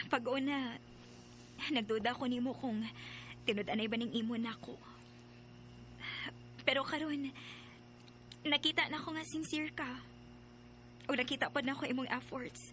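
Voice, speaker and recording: A proud hurt young gal in a radio drama, really high bright voice. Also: female voice, a little slow, long pauses, a little hiss or hum. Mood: shy, sincere. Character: guarded young working student woman, wounded and proud. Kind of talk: radio drama acting and narration.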